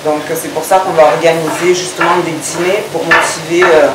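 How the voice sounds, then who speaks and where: A woman in her thirties explaining her project in a conversational interview, pausing often between short phrases. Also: sits low, fairly even intonation. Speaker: restaurant owner. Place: restaurant terrace, dining room and working kitchen. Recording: distant, echoey, loud background noise.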